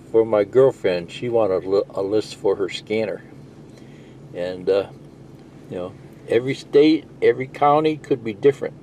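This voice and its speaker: A man's voice: on the low side, mellow, slight background noise. A middle-aged man giving a casual, rambling, plain-spoken hands-on walkthrough of a gadget, explaining as he goes. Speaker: CB radio video presenter.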